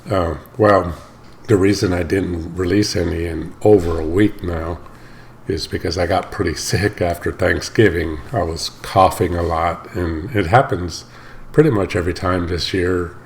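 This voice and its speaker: A man with a low, gravelly voice that is still slightly hoarse after an illness. He talks casually and rambles, often stopping to fix his own words. A faint hum of room noise sits under him. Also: steady tone, unhurried. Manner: rambling, stops often to correct himself. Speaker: reaction channel host. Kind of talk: casual talk to camera.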